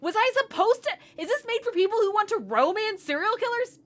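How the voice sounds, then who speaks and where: Bright, animated hoarse woman streamer. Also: very high voice, a little fast. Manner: animated, exasperated, laughing reactions. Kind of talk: live game commentary and reading aloud.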